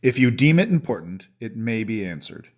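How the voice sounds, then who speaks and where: A man performs an authoritative male chief justice in a courtroom audio drama. His pitch is middling. He speaks in formal, measured rulings. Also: sing-song, close to an everyday voice. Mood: judicial.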